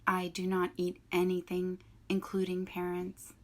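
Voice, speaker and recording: A woman's voice: lowish voice, completely flat delivery, measured pace, natural voice. A woman voicing a formal, literal female robot in a storybook reading. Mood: plain. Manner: formal, plain-spoken.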